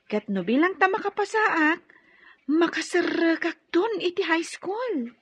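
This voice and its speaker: A woman's voice: extremely high pitch, very animated, a little slow, fairly bright tone, no echo, heavily acted. A woman playing a sweet, eager young daughter in Ilocano radio drama, with an Ilocano accent.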